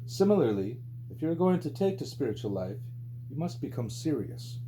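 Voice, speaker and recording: A man reading a spiritual book aloud as scripted audiobook narration, with a mellow voice. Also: very animated, moderate pace. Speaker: audiobook reader. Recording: low hum and background noise.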